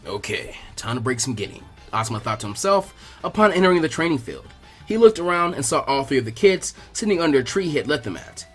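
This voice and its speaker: Male narrator for amateur story reading, slightly high register, scripted prose delivery. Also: sing-song, fast talker, fairly bright tone, soft background music. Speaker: fan fiction reader.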